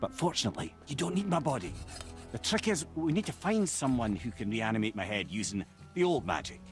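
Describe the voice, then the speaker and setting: An actor playing a talkative, witty, cocky captive sage in a fantasy game, speaking like a theatrical storyteller. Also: male voice, mid-range voice, animated, brisk, faint music behind, lightly acted. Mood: theatrical, explaining.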